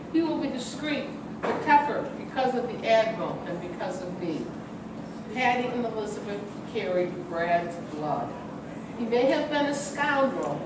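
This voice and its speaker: A woman's voice: on the high side, natural voice. An older woman playing a stern, anxious military officer and mother in an amateur radio play, recorded from far off in an echoing room. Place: large echoing room, distant microphone. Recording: very echoey hall from far off, noisy.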